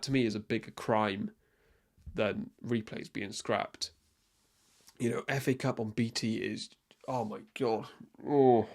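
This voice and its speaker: A young man from Wales, hosting a casual solo sports podcast and talking in an opinionated, rambling way with frequent ums and restarts. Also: mid-range voice. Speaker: podcast host.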